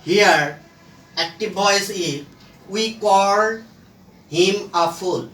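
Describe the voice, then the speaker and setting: A man teaching a grammar lesson in a halting, emphatic way, phrasing word by word. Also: high voice, a bit bright. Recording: echoey room, distant microphone.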